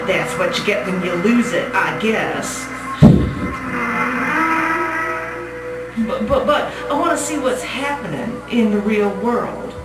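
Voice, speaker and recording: A woman playing a paranoid, defensive inmate acting crazy, with a Southern US accent and a rambling, halting, repetitive way of talking in a live monologue. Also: medium pitch, lightly acted. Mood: wistful, rambling. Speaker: performer reading a monologue. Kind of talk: live dramatic monologue reading. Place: small gallery cabaret stage. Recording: roomy distant live recording with music. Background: music.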